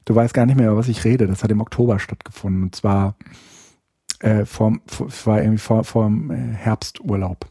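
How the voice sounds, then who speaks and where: Man, podcast co-host, husky voice, mellow tone, lots of ums and restarts, dry close sound. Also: on the low side, fairly even intonation, fairly quick, no room sound. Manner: hesitant, many fillers and restarts; rambling, thinks aloud. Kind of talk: casual chat.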